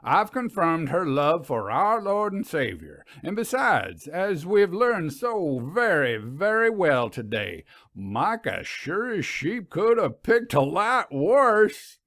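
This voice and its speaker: A man voicing a commanding, folksy Southern minister in a storybook reading, with oratorical, drawn-out phrasing and a Southern US accent. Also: high voice, very animated, fairly bright tone, no echo, clean studio sound, voice pushed up, heavily acted. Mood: proud, theatrical. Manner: oratorical, drawn-out emphatic delivery. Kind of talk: audiobook character reading.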